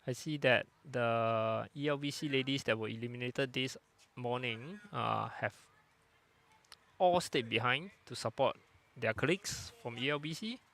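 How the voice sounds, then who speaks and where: A man giving calm, unhurried live commentary on a lawn bowls match. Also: mid-range voice, creaky. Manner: calm, unhurried, plain-spoken.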